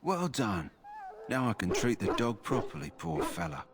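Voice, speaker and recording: A man's voice: fairly low pitch, very animated, moderate pace, heavy background noise. An actor playing a practical, kindly male kennel master in a fantasy video game, plain-spoken and earnest. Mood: practical, concerned.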